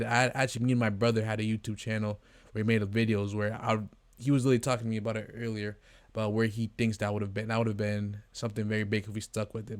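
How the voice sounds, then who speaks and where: A man's voice: lowish voice, no echo. An eighteen-year-old man from Canada talking casually to camera in a rambling, earnest way, with many fillers and restarts. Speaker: vlogger, agency co-founder. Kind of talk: casual talking-head vlog.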